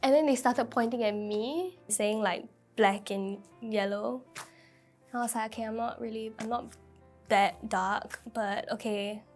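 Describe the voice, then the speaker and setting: Young singaporean girl, highish voice, casual storytelling w lots of like, music behind. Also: fairly even intonation, normal speed, a little mellow. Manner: peppers talk with "like". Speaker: interviewee.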